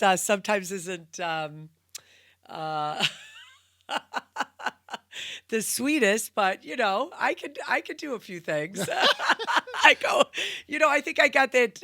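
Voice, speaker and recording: A woman co-hosting a relaxed conversation in a bright, clear voice. She agrees readily, chimes in often and laughs easily, with a self-deprecating touch. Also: mid-range voice. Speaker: podcast co-host. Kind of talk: casual chat.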